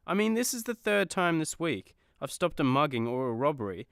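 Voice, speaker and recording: A petulant, vain male superhero played by a man in a comedy radio play. He grumbles sarcastically in a clean, crisp voice, recorded close and dry. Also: on the high side, sing-song, brisk, no echo. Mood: indignant. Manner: indignant, sarcastic griping.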